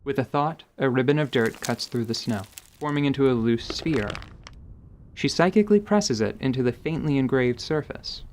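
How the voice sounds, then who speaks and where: A man's voice: medium pitch, normal speed, darkish tone, a little hiss or hum. A man giving scripted, present-tense narration for a fantasy audio drama.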